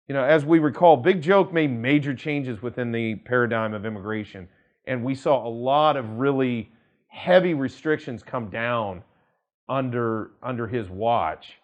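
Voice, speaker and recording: An American man, an attorney, presenting news commentary in a measured, emphatic manner, stressing phrases deliberately and drawing some out. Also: mid-range voice, muffled recording. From United States. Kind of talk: news commentary to camera, quoting articles.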